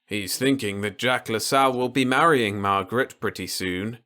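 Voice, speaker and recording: A man voicing a gentle, protective old grandfather in a storybook reading, reassuring and explaining things simply to a child. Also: lowish voice, moderate pace. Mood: coaxing. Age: older.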